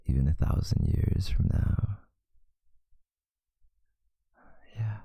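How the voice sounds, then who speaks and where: Man playing a very deep, gravelly vampire lover. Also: no echo, full character voice. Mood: solemn devotion. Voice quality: very deep, gravelly, husky, breathy, often near a whisper. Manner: slow, hushed, soothing, deliberate pauses. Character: tender, protective male vampire lover, ancient and solemn. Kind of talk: intimate ASMR boyfriend roleplay.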